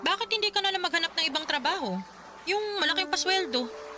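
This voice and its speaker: A woman's voice: extremely high pitch, expressive, quick pace, over music. An actress playing a blunt, sarcastic Filipino older sister who scolds her brother in a radio drama. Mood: questioning. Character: blunt, exasperated older sister of a grown man.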